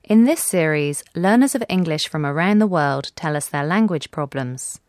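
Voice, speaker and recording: Woman announcer reading the intro, a bit low voice, dry close sound. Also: expressive, moderate pace, a little mellow, no echo. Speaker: programme announcer. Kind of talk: scripted announcement.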